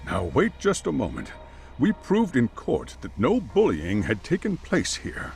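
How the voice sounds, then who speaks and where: A man's voice: mid-range voice, wildly swooping pitch, a little slow, gravelly, darkish tone, a little hiss or hum, full character voice. An actor playing a wary, proper chairman in an English game dub, hesitant, cautious and defensive. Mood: defensive, alarmed. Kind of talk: dramatic game cutscene dialogue.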